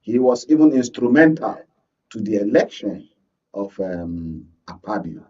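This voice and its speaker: A Nigerian man giving unscripted political commentary as a guest analyst, explaining in a halting way. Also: lowish voice, expressive, darkish tone, breathy edge, recorded from a distance, highs cut off.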